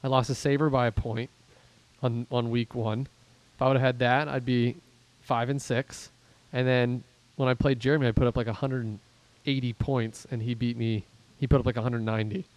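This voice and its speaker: A man from Pittsburgh hosting a casual fantasy football podcast, rambling and self-deprecating as he thinks out loud about the standings. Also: medium pitch, flat delivery, normal speed, low-quality recording. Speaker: podcast host and league commissioner.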